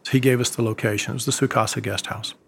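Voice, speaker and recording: A man, a former embassy security agent, recounting events in a measured, storytelling way with occasional fillers. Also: medium pitch, fairly even intonation, vocal fry, slight background noise. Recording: music bed underneath.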